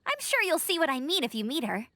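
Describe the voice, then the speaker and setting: A peppy young scout in a game, high voice, super lively, friendly, dry clean recording. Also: female voice, fast, no room sound, full character voice. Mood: friendly, explaining. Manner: bright-spirited, encouraging, friendly. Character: perky, upbeat young woman scout, eager to help. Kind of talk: scripted video game character acting.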